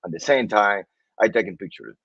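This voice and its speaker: A man from Cuba with a Cuban Spanish accent, a native Spanish speaker, speaking as an interview guest in earnest, halting English and often repeating himself. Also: on the low side, breathy edge, dry recording. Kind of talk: casual interview talk. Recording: dull, dry sound.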